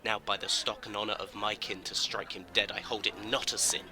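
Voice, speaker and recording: Man acting a low-voiced seething young nobleman. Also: little pitch movement, brisk, lightly acted. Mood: seething, scornful. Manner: scornful, seething. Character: hot-headed, vengeful young nobleman villain. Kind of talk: Shakespearean audio drama. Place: home recording. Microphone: phone.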